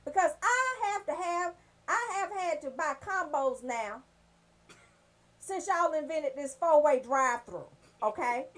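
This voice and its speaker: Woman radio host, high voice all over the place, brash casual funny ranting, cusses a lot. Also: measured pace. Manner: brash, profane, animated storytelling. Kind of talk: casual comedic rant on radio show.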